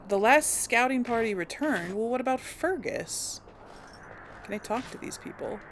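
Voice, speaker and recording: A woman's voice: on the high side, lively intonation, moderate pace, background noise. A woman hosting a casual role-play game video, talking playfully as she goes. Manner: playful, chatty, laughs often. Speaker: let's play host.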